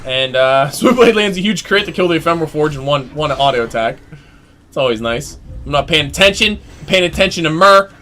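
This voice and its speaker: A man giving live play-by-play commentary on an online team game, excitable and chatty, his voice jumping up during fights. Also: fairly high pitch, fairly quick. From United States. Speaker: esports play-by-play caster.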